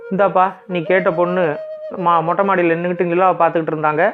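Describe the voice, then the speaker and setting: Giant mythical bird, voiced by a man: blunt, demanding, high register, thin phone-like audio. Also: level tone, racing, close to an everyday voice. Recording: thin phone-like sound, music behind.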